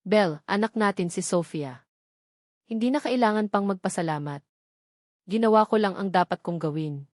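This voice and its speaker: A woman's voice: mid-range voice, moderate pace, long pauses, a little mellow, no echo, clean studio sound, natural voice. A woman with a Filipino accent voicing an earnest, repentant young father in a narrated love story. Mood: earnest, remorseful.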